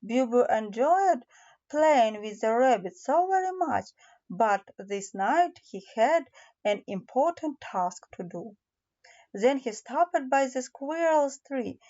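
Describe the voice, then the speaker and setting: A woman narrating a children's fairy tale in a deliberate storybook manner, with small hesitations. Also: high voice, expressive, dark tone, highs cut off. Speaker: audiobook narrator.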